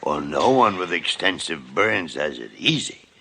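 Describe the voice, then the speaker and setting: An actor playing a gruff older hospital chief doctor in a 1950s radio drama, blustery and teasing. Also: male voice, low-pitched, big pitch swings, a little slow, sharp tone, heavily acted. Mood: reassuring. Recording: old radio broadcast recording.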